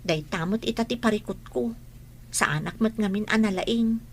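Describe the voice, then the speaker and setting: A woman's voice: medium pitch, moderate pace, natural voice. A woman playing an eager, slightly worried young schoolgirl in a radio drama. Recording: faint background noise.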